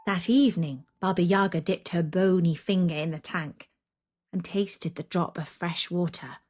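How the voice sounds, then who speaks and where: A woman narrating a scary children's story in a deliberate, dramatic, expressive way. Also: on the low side.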